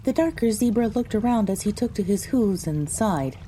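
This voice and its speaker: A woman's voice: medium pitch, animated, moderate pace, warm tone, slight background noise, lightly acted. A woman narrating an audio drama in the first person as a frightened young female pony recounting her misadventure. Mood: dramatic recounting.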